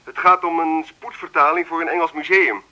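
Radio drama: matter-of-fact artist on a call, Netherlands Dutch, crisp bright tone, fairly high. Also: male voice, fairly even intonation, brisk, poor audio. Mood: businesslike. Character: businesslike male artist phoning to hire a translator.

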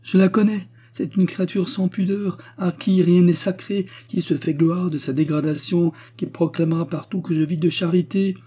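A man's voice: lowish voice, normal speed, warm tone, husky. A man voicing a proud, distraught noblewoman, a widow who complains in haughty, tearful exclamations, as in an audiobook. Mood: indignant scorn.

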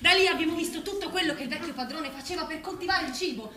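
Woman playing a high-voiced rallying pig leader, echoey stage. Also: fast, a crowd in the background. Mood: persuasive. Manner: declamatory, exhortatory. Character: female pig, rallying persuasive leader of freed farm animals. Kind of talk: theatrical stage acting. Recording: echoey theatre recording with music.

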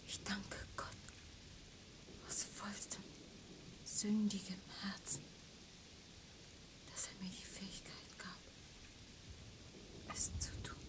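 A breathy, near-whispered female voice with little pitch movement. a passionate, devoted young woman in an audio drama, exhausted and weak, speaking intimately and very slowly. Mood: fervent, tender. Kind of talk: radio play acting.

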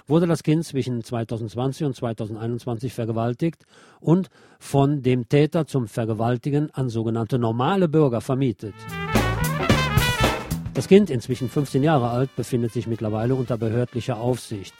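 A man's voice: medium pitch, dark tone. A man presenting scripted radio news with pointed commentary, recorded in a studio with music behind him.

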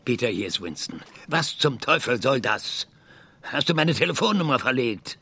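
An actor playing an older, hot-tempered retired space scientist in a radio drama, with a halting, blustering manner. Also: male voice, medium pitch, very animated, pushed and bright, breathy edge, no room sound, full character voice. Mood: angry, indignant. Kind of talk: German radio drama acting.

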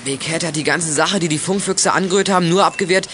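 A condescending, bossy schoolboy played by a male actor in a youth radio play. He talks in brusque slang with a slightly high voice, over clear recording noise. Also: fast talker, lightly acted. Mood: bossy, irritated. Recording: clearly noisy old audio play recording.

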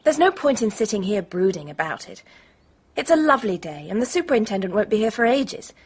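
A woman's voice: medium pitch, sing-song, fairly quick, husky. An actress playing an evasive, defensive young British woman in a radio drama. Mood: brisk. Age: young adult.

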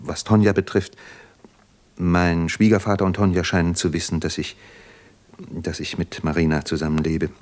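A weary, proud intellectual man , played by a male actor, speaks in a low-pitched voice in the manner of 1950s radio drama. He is deliberate and reflective, setting his phrases apart with meaningful pauses. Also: level tone, natural voice. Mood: reflective, subdued.

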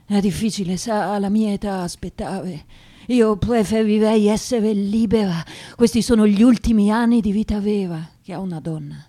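An actress playing a lonely, self-pitying middle-aged Italian woman, confiding haltingly in a theatrical radio drama monologue. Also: mid-range voice, warm tone, natural voice. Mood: resigned, sad.

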